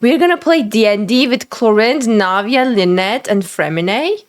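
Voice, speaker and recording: A woman streamer giving gushing, excitable live commentary while playing a video game. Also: a little high, moderate pace. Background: game music.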